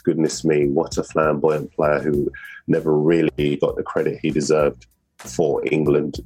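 A man's voice: rumbling low, dark tone, breathy edge. A man speaking as a newspaper pundit, giving emphatic interview commentary.

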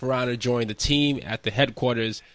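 A man's voice: mid-range voice, steady tone, moderate pace, fairly bright tone, dry recording. A man hosting an interview podcast, chatty and hesitant, with fillers and restarts. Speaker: podcast host. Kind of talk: casual interview chat.

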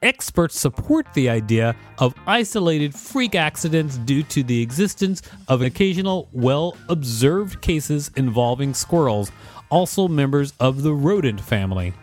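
Mid-pitched man reading aloud over music. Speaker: co-host reading an article aloud. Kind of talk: reading an encyclopedia article aloud with casual asides.

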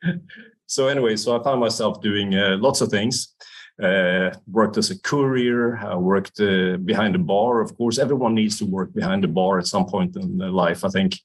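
Swedish guy in his 60s, kinda low voice, lots of uhs and little laughs while he talks. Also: moderate pace, breathy edge. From Sweden. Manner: hesitant, many fillers and restarts, laughs often. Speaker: guest, company founder. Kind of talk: casual interview talk.

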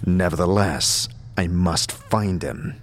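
Man playing a deep-voiced, stoic immortal wanderer. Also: takes it slowly. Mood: determined. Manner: terse, earnest questioning. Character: amnesiac immortal male wanderer, stoic and determined.

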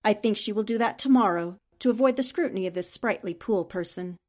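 A woman's voice: medium pitch, quick pace, darkish tone, lightly acted. A woman playing a poised, haughty countess working as a Nazi impostor in a 1940s-style radio drama. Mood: sly, assured.

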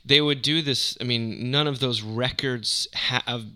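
A man's voice: medium pitch, a little slow, bright, forceful tone, clean tone. A man hosting a casual music discussion, talking enthusiastically and wandering into tangents. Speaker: music podcast host.

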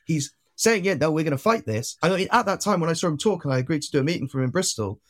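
A man's voice: a little high, lively intonation, racing. A man in his twenties from Wales with a slight Welsh accent, chatting as an interview guest in a rambling way, full of fillers and restarts. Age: 20s. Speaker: guest activist. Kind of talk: casual interview chat.

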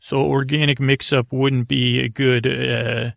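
A man hosting a bedtime podcast in a creaky voice, meandering and muddled, often halting and starting sentences over. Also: medium pitch, flat delivery, a bit bright, no echo, clean studio sound. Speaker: podcast host. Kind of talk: rambling bedtime podcast monologue.

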